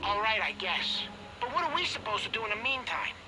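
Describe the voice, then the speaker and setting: An actor playing a chatty, naive street thug in a video game, casual and streetwise. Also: male voice, sits high, big pitch swings, a little fast. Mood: curious. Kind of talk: dramatic video game acting. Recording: very heavy engine-like ambient noise.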